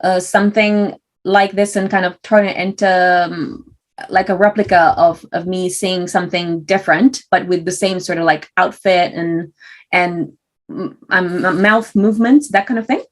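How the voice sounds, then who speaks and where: A woman's voice: on the low side, steady tone, moderate pace. Chatty Romanian-accented woman host. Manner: chatty, questioning, many fillers. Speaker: podcast host. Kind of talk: casual interview.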